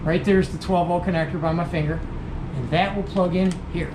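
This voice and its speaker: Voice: mid-range voice, fairly even intonation, brisk. Casting: folksy how-to host, casual and repetitive for beginners, recorded in a roomy space with background noise. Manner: folksy, repeats points for beginners. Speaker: host demonstrating radio gear. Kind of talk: casual hands-on tutorial.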